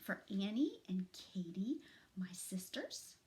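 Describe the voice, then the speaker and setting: A middle-aged woman reading a children's storybook aloud in an expressive, dramatic, deliberate way. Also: mid-range voice, a little hiss or hum. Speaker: teacher reading aloud to students. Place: small furnished room at home, indoors.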